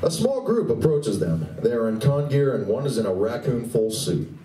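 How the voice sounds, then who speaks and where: A man's voice: mid-range voice, sing-song, normal speed, dark tone, lightly acted. A man narrating a scripted live radio play as a wry storyteller. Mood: descriptive. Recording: roomy live venue, distant mic, faint noise.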